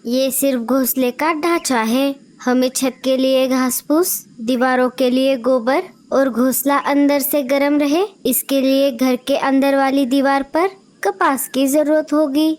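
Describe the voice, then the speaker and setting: A woman's voice: high voice, steady tone, measured pace, a little mellow. A woman voicing a nagging young female sparrow wife in a children's cartoon, with a whiny, complaining manner. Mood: scolding. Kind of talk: cartoon voice acting.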